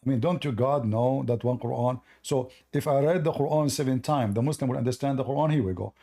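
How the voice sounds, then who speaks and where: A man whose first language is Arabic, hosting a live call-in debate and arguing forcefully while reading from sources. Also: mid-range voice, quick pace. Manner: forceful, argumentative, repeats himself. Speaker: host of a call-in debate stream.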